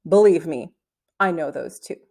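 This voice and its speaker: A woman reading a storybook as a brisk, self-assured, unworried deputy headmistress. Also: deep voice, dark tone. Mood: unconcerned.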